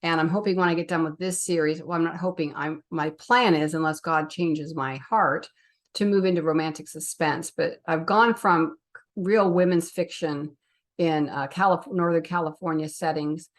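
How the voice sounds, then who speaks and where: A woman's voice: sits low, steady tone, normal speed. A woman hosting a podcast as a novelist, talking casually and chattily in a conversational interview.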